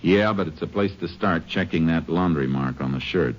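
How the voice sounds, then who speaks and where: An actor playing a calm, methodical Texas Ranger in a 1950s radio western, speaking in clipped, matter-of-fact phrases. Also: male voice, extremely low pitch, normal speed. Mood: businesslike, probing. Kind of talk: 1950s radio western drama acting and narration. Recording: dull old radio recording with clear hiss.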